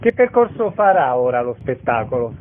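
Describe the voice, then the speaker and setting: A man's voice: medium pitch, sing-song, normal speed, slight background noise. A man hosting a radio interview, courteously asking questions and giving orderly, formal announcements. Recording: narrow phone-like radio sound.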